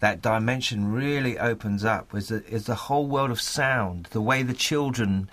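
A man's voice: fairly low pitch, normal speed, fairly bright tone. A man talking earnestly and articulately in an interview, often repeating phrases for emphasis. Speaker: playwright. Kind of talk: thoughtful interview talk.

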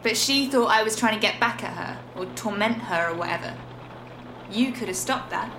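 A woman's voice: mid-range voice, normal speed, reverberant, background noise, lightly acted. An actress playing a wronged, dry and frank young British woman in a naturalistic audio drama. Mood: probing, sardonic. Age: young adult.

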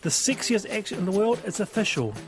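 A man's voice: high-pitched, very animated, fairly quick, over music. A man fumbling with a list, then reading a quote aloud.

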